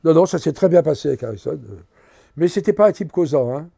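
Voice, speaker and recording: A gravelly, husky older man with a fairly high voice swings his pitch widely as he tells stories from his acting career. He acts out the voices of the people he talks about, shouting some lines and dropping to a murmur on others, in a relaxed conversational interview. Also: fast talker. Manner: animated anecdotes, acts out quotes. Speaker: actor interviewee. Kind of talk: casual storytelling interview.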